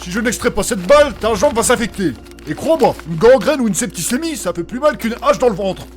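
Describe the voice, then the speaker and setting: A man's voice: sits high, expressive, a little fast, faint music behind. An actor playing a brash, teasing field medic who puns and bosses his patient in a comic audio drama. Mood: exasperated. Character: cheeky, blunt male field medic, teasing and bossy.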